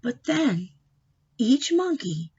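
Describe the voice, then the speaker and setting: A woman with a fairly high, creaky-edged voice reads a children's storybook aloud. She takes her time and prompts her young listeners with questions in an expressive way. The recording is a little dull and lo-fi. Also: takes it slowly, slight background noise. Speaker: storyteller reading a picture book aloud to children.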